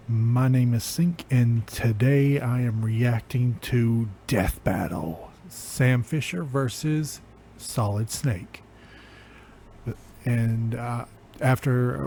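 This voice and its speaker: A man giving casual reaction commentary with a hesitant, halting delivery, recorded on a mic turned down low. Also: warm tone. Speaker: host of a reaction video. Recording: faint noise, low mic level.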